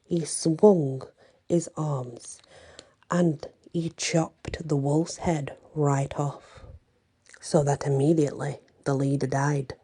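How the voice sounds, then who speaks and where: A woman reading a story aloud in an ASMR bedtime style, with halting, deliberate phrasing. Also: low-pitched, fairly even intonation, warm tone, breathy edge.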